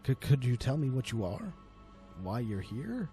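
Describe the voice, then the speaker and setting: A man voicing a nervous male paranormal investigator in a horror story, stammering and halting as he speaks. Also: medium pitch, big pitch swings, dark tone, pitched above the performer's own voice. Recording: music under the voice.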